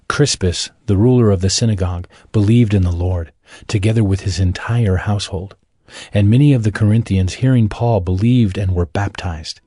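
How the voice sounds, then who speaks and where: A man narrating scripted audio Bible scripture as a measured, solemn storyteller. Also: lowish voice, warm tone, slightly rough, no room sound, studio quality.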